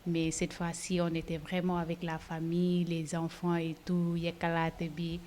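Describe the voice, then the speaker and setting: A young woman from Senegal, a model, chatting in a relaxed interview with frequent fillers. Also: on the low side, normal speed, a little hiss or hum. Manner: chatty, laughs easily, hesitant fillers. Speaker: fashion model, interview guest. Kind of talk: casual interview, mixing Wolof and French.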